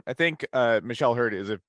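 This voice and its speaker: A man talking casually as a podcast panelist, working through his thoughts aloud in a halting way. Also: medium pitch, animated, a bit bright, no room sound.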